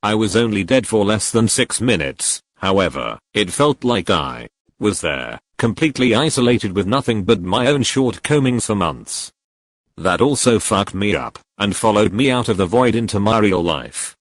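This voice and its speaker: A man reads forum stories aloud in a lowish voice. His pitch rises and falls in a lively, animated way as he goes straight through each post in one steady narrating voice. The recording is close and dry, with no room sound. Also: moderate pace, no room sound. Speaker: narrator reading online posts.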